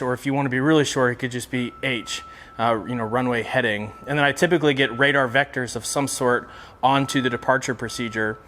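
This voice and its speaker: A man's voice: mid-range voice, a bit bright. A man giving a casual instructional explanation in a plain-spoken, conversational way, as a presenter teaching practical tips.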